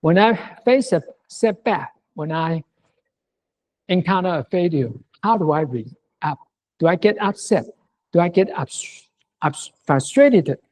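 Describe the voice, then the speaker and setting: A lecturer from Taiwan whose first language is Mandarin gives a classroom lecture in English. They pause and restart often and close many points with a checking "okay?". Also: mid-range voice, expressive, darkish tone, poor audio. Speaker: university lecturer.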